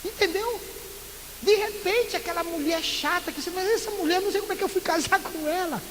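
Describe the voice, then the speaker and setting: Pastor from Recife in northeastern Brazil, high-pitched man, lively sermon with rhetorical questions, long church echo. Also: a little fast. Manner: lively preaching full of rhetorical questions and playful asides. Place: church sanctuary. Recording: echoey church hall with loud hiss.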